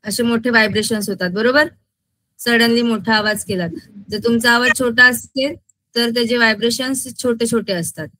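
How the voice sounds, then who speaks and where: A woman with a Maharashtrian accent teaches a school science class in a bright, crisp-edged voice. Her pitch sits fairly high and the sound is dry. She explains step by step, throwing out short questions to check understanding. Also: fairly even intonation, moderate pace, no echo, low-quality recording. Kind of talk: interactive classroom lecture mixing Marathi and English terms.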